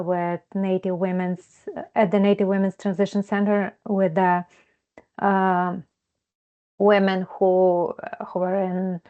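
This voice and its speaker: A woman from Ukraine introducing herself to a group over a video call, halting and searching for words. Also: medium pitch, darkish tone. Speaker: counseling student. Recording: dull lo-fi video call audio.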